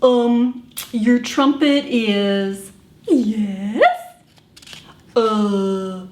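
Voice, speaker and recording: A woman voicing a gentle, hesitant elephant in a picture-book read-aloud, halting and tactful with a friend. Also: mid-range voice, voice pushed down. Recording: echoey room with faint background noise.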